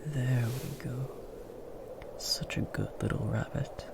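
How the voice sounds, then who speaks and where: Casting: male actor for a big gentle werewolf, protective and soothing, mostly whispered, slow delivery, ASMR roleplay. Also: medium pitch, fairly even intonation. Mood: tender, soothing. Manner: hushed, soothing, breathy whispering and murmuring. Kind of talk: comforting ASMR audio roleplay.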